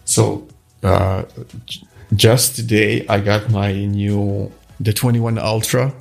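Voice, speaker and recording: A man's voice: on the low side, level tone, slow pace, gravelly, soft background music. A middle-aged man from Croatia, a native Croatian speaker, answering interview questions in a hesitant, casual way with many restarts. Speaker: guest, watch face designer.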